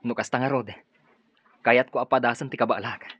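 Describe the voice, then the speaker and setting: Guy playing humble earnest man in radio drama, husky mid voice, dull lo-fi sound. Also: medium pitch, fairly even intonation, fairly quick, no echo, lightly acted. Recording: dull lo-fi audio.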